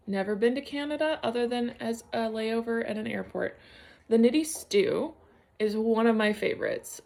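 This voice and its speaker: Woman, home vlogger, gravelly fry, mid pitch, rambling and unfocused. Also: a little mellow. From United States. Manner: rambling, searching for words, many fillers and restarts. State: concussed, a little unfocused. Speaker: knitting vlogger. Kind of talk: casual solo vlog chat.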